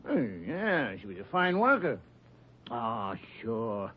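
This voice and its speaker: A man's voice: mid-range voice, very animated, takes it slowly, husky, exaggerated voice. An actor playing a breezy, glib, evasive male union steward in a 1940s radio drama. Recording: dull 1940s radio recording.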